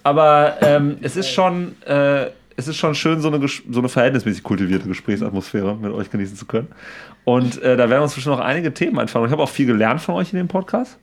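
A man's voice: medium pitch, fast. A young man co-hosting a podcast, rambling in slangy, casual chat among friends. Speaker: podcast co-host.

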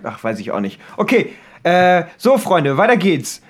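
A man's voice: sits high, very animated, measured pace. A man hosting a quiz game among friends in playful, informal, casual chat. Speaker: podcast host leading a party quiz game.

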